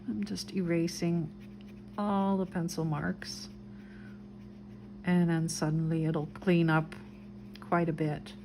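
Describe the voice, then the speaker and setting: A woman with a gentle Dutch accent narrates her crafting in an unhurried, low-key way. Her voice sits a little low and her pace is very slow. A clear background hiss runs under the recording. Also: little pitch movement, rough recording. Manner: soft, unhurried, describes each step as she draws. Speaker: craft video host. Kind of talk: relaxed craft tutorial narration.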